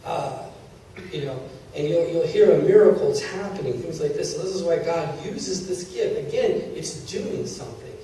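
A man's voice: fairly high pitch, moderate pace, mellow, a little hiss or hum. A middle-aged man teaching a church congregation in a conversational preaching style, often checking in with his listeners. He holds a handheld mic in a large church hall. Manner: conversational preaching, checks in with "Are you with me?" and "okay?". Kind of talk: sermon teaching. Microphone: handheld mic, filmed from a far camera. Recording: echoey hall from far off.

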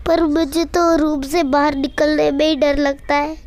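A woman's voice: high voice, level tone, measured pace, darkish tone. A young girl giving casual, chatty and playful commentary on a game she is playing. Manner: chatty, playful, breaks into nonsense sounds and singing. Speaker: gamer commentating on own play. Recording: music behind the voice.

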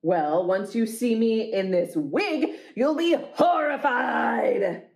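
A woman's voice: a little high, lively intonation, slow, vocal fry, a little mellow, full character voice. A woman voicing boastful, silly storybook monsters, exclaiming and drawing out words for emphasis. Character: boastful silly picture-book monsters competing to be ugliest. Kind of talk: storybook read-aloud.

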